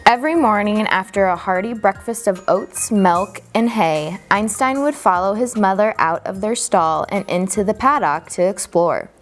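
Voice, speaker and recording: A woman reading a children's picture book aloud as a storyteller, expressively and deliberately, drawing out key words for emphasis. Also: medium pitch, faint music behind.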